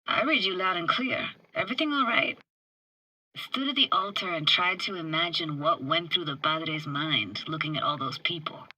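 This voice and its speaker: An actress playing a tough, sardonic but warm-hearted young woman in a video game, talking in casual, clipped slang. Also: fairly low pitch, normal speed, rough recording. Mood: reflective. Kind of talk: naturalistic video game voice acting.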